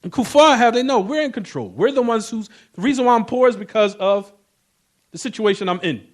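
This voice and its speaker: High-pitched man teaching a religious class. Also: expressive, fairly quick. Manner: repetitive, emphatic, checking in with rhetorical questions. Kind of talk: informal Islamic lecture with scriptural recitation.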